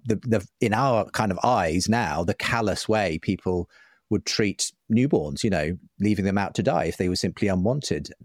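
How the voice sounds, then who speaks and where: A man with a low-pitched voice talks as a guest in a thoughtful interview. He is articulate and discursive, explaining at length in a conversational way, and the sound is close and dry. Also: no room sound. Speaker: interview guest, writer and broadcaster.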